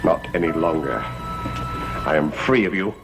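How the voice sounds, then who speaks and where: A man's voice: rumbling low, moderate pace. A man playing the imperious, tormented master of a gothic hall in a TV soap, with formal, dramatic delivery. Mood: commanding, angry. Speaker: actor in a TV serial. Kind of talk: gothic soap opera acting. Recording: old TV soundtrack, music, hum.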